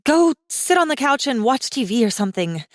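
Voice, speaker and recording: A woman's voice: sits high, big pitch swings, a little fast, no echo, clean studio sound, pitched above the performer's own voice. A woman voicing a flustered, blunt young college woman in a dramatized audiobook. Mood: blurting, flustered. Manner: blurting, incredulous, profane. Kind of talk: dramatized audiobook character acting.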